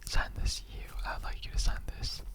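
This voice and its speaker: A performer acts out an immortal skeleton interrogator in an ASMR roleplay. The voice stays in a whisper throughout. The delivery is earnest and conversational, rambling through halting asides and repetitions. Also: fairly bright tone, close to an everyday voice. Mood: pleading, halting. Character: chatty immortal skeleton interrogator, earnestly recruiting bone donors.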